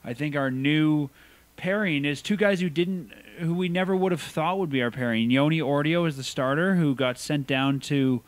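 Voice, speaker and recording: A man co-hosting a podcast, giving opinionated, animated takes in casual sports chat. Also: on the high side, moderate pace, no echo. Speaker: podcast co-host.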